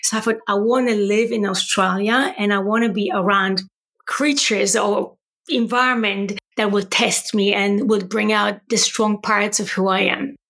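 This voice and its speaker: Brief: Polish woman, 40s, podcast guest, gravelly and a bit husky, earnest storyteller. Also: mid-range voice, measured pace. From Poland. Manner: earnest, animated storytelling with tag questions. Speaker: wellbeing coach, podcast guest. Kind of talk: conversational interview.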